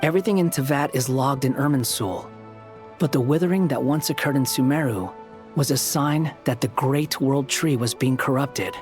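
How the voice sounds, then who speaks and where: A man's voice: mid-range voice, normal speed, music in the background, natural voice. An actor playing a blunt, aloof young man in a fantasy game, with curt, dry, challenging delivery. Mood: dry, serious. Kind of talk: scripted game dialogue.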